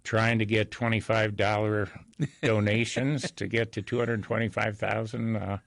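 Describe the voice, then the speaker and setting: Older man, low-pitched, interview guest, folksy storyteller, self-deprecating, dry close sound. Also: little pitch movement, a little slow, vocal fry, a bit bright, no room sound. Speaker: interview guest, real estate broker. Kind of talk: anecdotal interview conversation.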